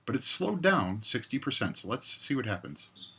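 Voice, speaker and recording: Man with a bright, forceful voice. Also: mid-range voice, very animated, normal speed, slightly rough. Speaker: tutorial presenter. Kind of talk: step-by-step software demonstration. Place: indoors, screen recording voice-over.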